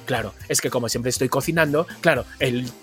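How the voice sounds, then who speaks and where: A man with an accent from Spain co-hosting a casual chat podcast, telling anecdotes in an expressive, dramatic way and acting out dialogue. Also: medium pitch, a little fast, soft background music. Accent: Spanish from Spain. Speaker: podcast co-host.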